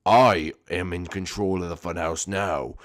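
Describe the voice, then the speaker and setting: A man voicing a cruel, gloating male villain in a slow, low drawl. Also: fairly even intonation, fairly bright tone, no echo, clean studio sound.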